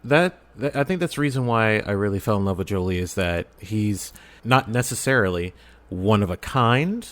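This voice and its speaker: A man in a casual podcast discussion, talking haltingly as he thinks aloud. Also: lowish voice, animated.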